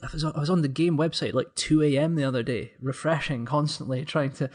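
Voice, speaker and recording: A man's voice: fairly high pitch, fairly even intonation, a little fast. A man co-hosting a podcast in casual chat, talking like an enthusiastic fan with a joking, grumbling streak. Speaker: podcast co-host.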